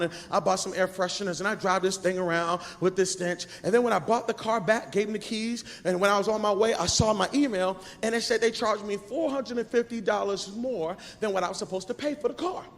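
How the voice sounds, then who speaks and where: High-voiced preacher man in an echoey hall. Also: fairly quick, few pauses. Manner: rhythmic preaching cadence, often half-sung. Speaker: pastor. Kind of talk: sermon.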